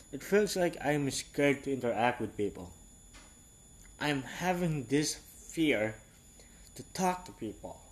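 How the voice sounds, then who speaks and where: An eighteen-year-old man from Southeast Asia, English not his first language, talking frankly in a rambling, halting casual monologue. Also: mid-range voice, poor audio.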